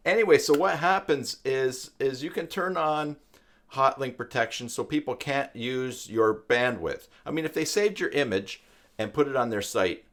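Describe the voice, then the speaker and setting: A man's voice: medium pitch, a bit bright. A man in his fifties hosting a live-stream tutorial in a casual, conversational way, wandering into asides. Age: 50s.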